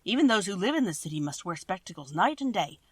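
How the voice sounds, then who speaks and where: A woman reading aloud and voicing a small green man who guards a city gate, in a loud, solemn, warning way. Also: medium pitch, very animated, quick pace, husky, dry recording, clean studio sound. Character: stern little green male gatekeeper.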